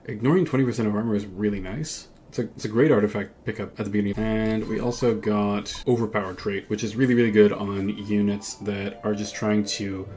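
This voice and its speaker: A man's voice: on the low side, steady tone, fairly quick, hardly any pauses, darkish tone, slight background noise. A man giving casual gameplay commentary as host of a gaming video. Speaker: gameplay video host who voices characters.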